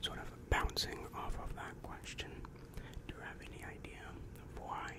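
A young man voicing a weary, exasperated demon guidance counselor in an ASMR roleplay, whispering breathily and close to the microphone with slow, repetitive, patronizing reassurance. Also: close to an everyday voice. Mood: businesslike. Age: young adult. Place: small dim room indoors. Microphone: large microphone very close to mouth.

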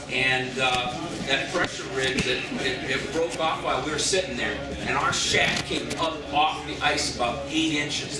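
Folksy man telling stories in echoey shop. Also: fairly high pitch. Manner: storytelling, folksy, repeats words. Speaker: fishing guide. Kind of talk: seminar talk to an audience. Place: large indoor tackle shop. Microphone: far camera, no mic. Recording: echoey room from far off, loud crowd.

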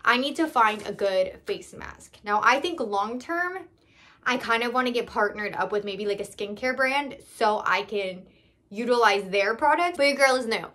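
Young female vlogger, voice a bit high, casual haul chat, candid, thinks out loud. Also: animated, normal speed. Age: young adult. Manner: candid, conversational, thinking aloud. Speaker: salon owner vlogger. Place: small indoor room.

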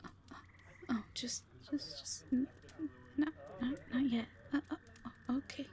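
A woman playing a shy, frightened young owl captive in an audio drama, with a heavy halting stammer. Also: high-pitched, steady tone, mellow. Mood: nervous, timid. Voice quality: heavy stammer, breathy gasps. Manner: timid, halting, pleading. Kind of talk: amateur audio roleplay drama.

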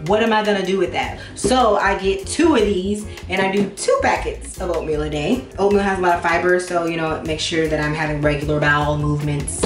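A woman's voice: on the low side, fairly even intonation, brisk, hardly any pauses. Casual young woman vlogger, echoey room. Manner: informal, slangy, playfully emphatic. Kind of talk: casual chat to viewers, grocery haul. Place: home kitchen, indoors. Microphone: camera a few feet away. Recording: echoey room, faint music behind.

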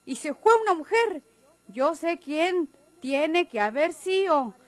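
A high-voiced, nosy girl. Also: animated, slow pace, dry recording. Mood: curious, sly. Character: nosy, young rural Mexican girl. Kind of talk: Mexican radio drama acting.

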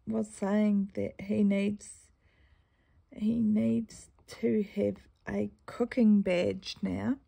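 A woman with a New Zealand accent chatting casually in a stitching vlog, rambling through a story and often clearing her croaky throat. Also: medium pitch, warm tone. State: croaky morning voice. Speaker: cross-stitch vlogger.

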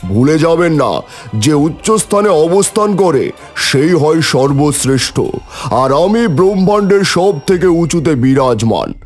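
A proud creator god, played by a man, declaims pompously in a fairly high male voice. It is boastful cartoon myth dialogue with music underneath. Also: lively intonation, a little slow.

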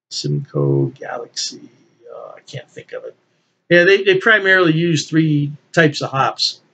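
Older man, higher-pitched voice, emphatic. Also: breathy edge, dull audio. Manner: enthusiastic, emphatic, repeating phrases for emphasis. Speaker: beer reviewer, channel host. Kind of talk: casual unscripted review chat to camera. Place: small indoor room at home.

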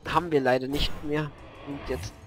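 A man with a cold and a hoarse voice, casually commentating a card game and thinking aloud about his moves, with many fillers. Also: on the high side, steady tone, normal speed. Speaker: gameplay commentator. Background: faint background music.

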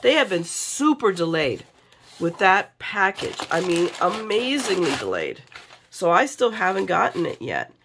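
A middle-aged American woman, sick and low on energy, chatting casually and rambling in a confiding way for a craft vlog. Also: very animated, unhurried, fairly bright tone, a little hiss or hum. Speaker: craft vlogger. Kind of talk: casual chat in an unboxing vlog. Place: indoors at a craft table.

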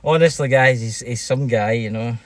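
A man's voice: medium pitch, moderate pace, dry recording. A middle-aged Scottish man hosting a casual vlog, chatting and teasing jokily with a friend. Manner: jokey, teasing banter. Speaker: vlog host. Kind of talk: casual livestream chat with a friend. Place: inside a parked car. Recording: noticeable background noise inside car.

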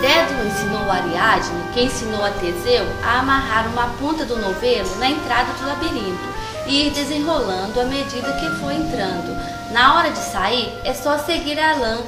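A woman's voice: a little high, expressive, moderate pace, recorded from a distance, natural voice. A woman voicing a kindly, learned old grandmother who patiently tells stories in a cartoon. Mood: gently explaining. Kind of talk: storytelling in an amateur cartoon. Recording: roomy echo, music behind.

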